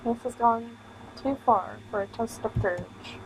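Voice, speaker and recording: A woman streaming a visual novel, reading the text aloud with halting delivery and casual commentary. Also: a little high, noisy background. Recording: music playing behind the voice. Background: music.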